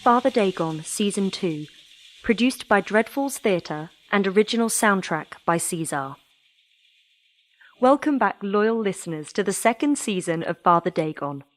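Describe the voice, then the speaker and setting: A woman's voice: mid-range voice, animated, measured pace. A woman reading a scripted introduction as the announcer of an audio drama podcast.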